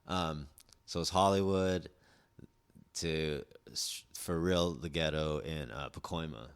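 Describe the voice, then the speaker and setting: A man's voice: rumbling low, slow, clean tone. A man in his forties, born in Indonesia and raised in Southern California, talking as a podcast guest in a laid-back, rambling way, full of "like" and "dude". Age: 40s. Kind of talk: casual chat.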